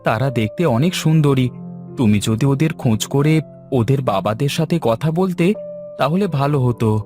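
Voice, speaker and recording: A man's voice: medium pitch, expressive, normal speed, warm tone, soft background music, voice pushed up, lightly acted. A man voicing a smitten young village son in a cartoon fairy tale, earnest and eager. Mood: earnest, relieved.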